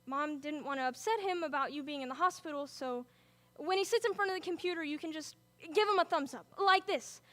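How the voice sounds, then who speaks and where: Bright, very high woman playing a teenage daughter with big emotional swings from gentle to frantic, monologue piece. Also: a little fast, dry recording. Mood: gentle, chatty. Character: teenage girl talking to her sick father. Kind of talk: dramatic monologue.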